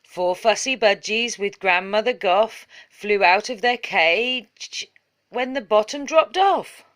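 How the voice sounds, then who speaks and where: A woman reads a children's story aloud at a slow pace. Her delivery is measured and follows the rhythm of the rhyming verse, with a middling pitch. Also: fairly bright tone. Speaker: story reader.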